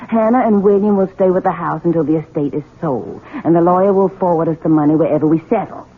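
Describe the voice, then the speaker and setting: An actress playing a cool, scheming young-widow daughter-in-law in a 1970s radio drama, brisk and pragmatic. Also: female voice, fairly low pitch, slightly rough. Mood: matter-of-fact. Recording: muffled, noisy 1970s radio recording.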